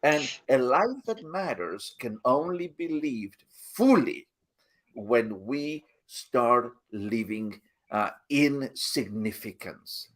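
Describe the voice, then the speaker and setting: A man's voice: medium pitch, expressive, slow pace. A man hosting a motivational live talk, speaking earnestly and often repeating his phrases. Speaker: host.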